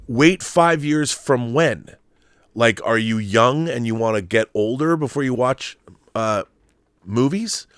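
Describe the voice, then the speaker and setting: A middle-aged man hosting a casual livestream, talking emphatically and haltingly, often repeating words and rephrasing as he thinks aloud. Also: mid-range voice, clear, resonant tone, no room sound, clean studio sound. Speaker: livestream host. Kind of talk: casual live chat answering viewer messages.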